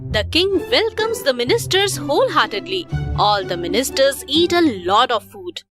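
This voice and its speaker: A woman narrates a children's story in a high, animated voice. Her intonation rises and falls widely, and music plays behind her. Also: normal speed.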